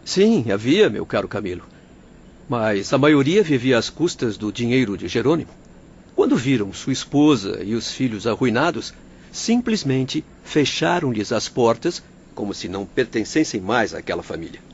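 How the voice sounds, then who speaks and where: An actor playing a compassionate doctor who explains solemnly and oratorically in an audio drama. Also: male voice, medium pitch, big pitch swings, moderate pace. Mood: solemn explaining. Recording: dull lo-fi audio, faint noise.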